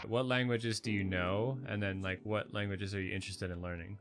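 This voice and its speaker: A man's voice: on the low side, fairly even intonation, a little fast, music in the background. A man hosting a casual interview, asking questions in a playful, teasing way. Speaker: host.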